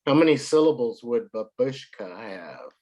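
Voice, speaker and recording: A man tutoring a child in English, patient and a little hoarse from a cold, often clearing his throat. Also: mid-range voice, very animated, normal speed, darkish tone, slight background noise. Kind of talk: online one-to-one lesson.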